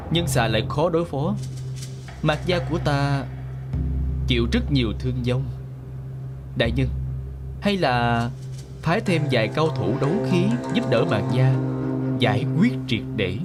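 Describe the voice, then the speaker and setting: Male actor as sly arrogant clan boss, fantasy drama dub, theatrical sneer, highly animated intonation, music underneath. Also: natural voice. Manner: theatrical, menacing, sneering, gloating. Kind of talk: Vietnamese-style fantasy drama dubbing. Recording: voice over background music.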